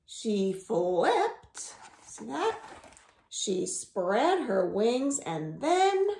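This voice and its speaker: A woman reading picture books aloud to young children at home, very expressively, with drawn-out words. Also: on the high side, vocal fry. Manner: expressive, with drawn-out words, sound effects and gasps. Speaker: children's storytime reader. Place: indoors at home. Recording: roomy, echoing home recording.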